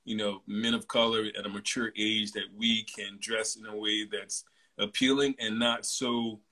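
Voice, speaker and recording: A man in his mid-forties hosting a casual interview, talking in a relaxed, chatty way with plenty of fillers. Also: on the low side, moderate pace. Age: 40s. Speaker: host.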